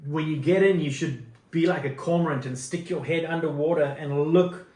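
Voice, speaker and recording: A man's voice: on the high side, normal speed, a little mellow. Laid-back South African man, roomy sound. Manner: laid-back, laughing, self-deprecating storyteller. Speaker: podcast guest, surfboard shaper. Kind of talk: casual chat.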